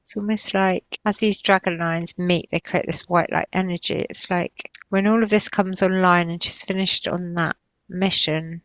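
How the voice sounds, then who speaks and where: A woman giving an unscripted, trance-like spiritual channeling, describing visions in halting, repetitive, searching phrases. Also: fairly low pitch, fairly bright tone, no room sound, lo-fi sound. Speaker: spiritual healer channeling in a session.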